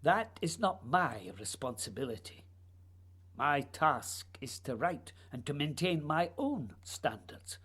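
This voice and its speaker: Woman as male writer, radio drama, low-pitched, husky, swooping intonation, earnest and poetic. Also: very animated, takes it slowly, a bit bright, heavily acted. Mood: reflective, troubled. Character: dreamy, evasive male writer, earnest myth-maker. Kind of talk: radio play acting.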